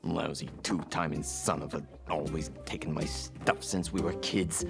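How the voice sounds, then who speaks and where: A man's voice: a bass voice, fairly even intonation, normal speed, creaky, over music. A man playing a grouchy, sarcastic brother who grumbles irritably, in an audio drama. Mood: grumbling disgust.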